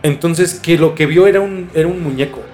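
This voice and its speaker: Higher-pitched Mexican man, casual storyteller. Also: darkish tone, faint music behind. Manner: informal, halting, mildly profane. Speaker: podcast host.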